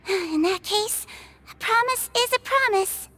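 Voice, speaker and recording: An actress voicing a small, gentle female creature who works as a nurse, speaking in a soft, patient, matter-of-fact way. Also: extremely high pitch, expressive, slow, soft background music, heavily acted. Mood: caring. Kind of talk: video game voice acting.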